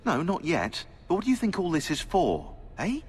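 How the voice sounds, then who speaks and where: An actor playing a sardonic, eccentric British conspiracy researcher turned assassin in a video game, chatty, opinionated and sarcastic. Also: male voice, fairly high pitch, huge pitch swings, normal speed, husky, exaggerated voice. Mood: sarcastic, argumentative. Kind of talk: game cutscene dialogue.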